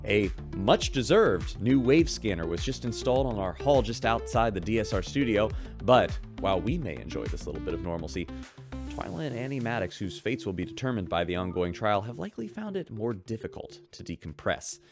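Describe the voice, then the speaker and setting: A man hosting a radio show in scripted narration, with smooth broadcaster delivery. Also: on the low side, very animated, a little fast, over music.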